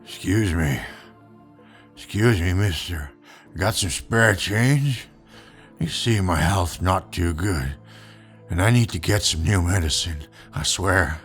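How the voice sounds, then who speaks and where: A man's voice: low voice, slow pace, a bit bright, soft background music. A man playing a sickly, rambling street beggar who wheedles for change in a comic dub. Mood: wheedling.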